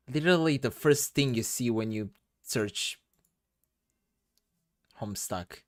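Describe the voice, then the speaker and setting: A young woman with a deep voice chatting casually as a stream host, relaxed and laughing. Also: slow pace, dry recording, clean studio sound. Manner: relaxed, laughing, talks while doing things on screen. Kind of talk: casual chat.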